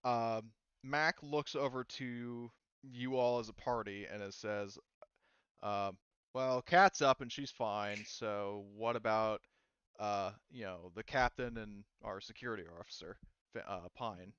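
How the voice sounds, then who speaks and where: A man with a smooth, clear voice works as game master, narrating casually and haltingly. The sound is close and dry, slightly dull and lo-fi. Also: on the low side, a bit bright, no room sound. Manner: halting, conversational delivery.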